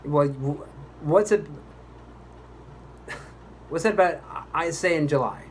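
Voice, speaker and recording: A man's voice: on the high side, slow, slight background noise. A man playing a pompous, exasperated commercial voice actor at a recording session, grandiose, indignant and condescending. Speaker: voice-over actor. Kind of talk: re-enacted commercial recording outtake.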